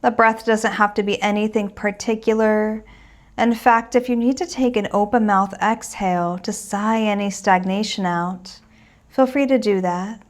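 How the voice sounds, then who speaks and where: A woman teaching a guided meditation in a gentle, soothing and reassuring manner. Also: mid-range voice, fairly even intonation, moderate pace.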